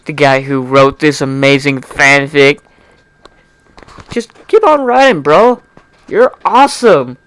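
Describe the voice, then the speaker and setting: A man's voice: fairly high pitch, slow, long pauses, a bit bright, clear, resonant tone, no room sound. A young man giving an amateur dramatic reading of fan fiction, informal and often breaking off into chatty, apologetic asides. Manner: informal, self-interrupting, apologetic.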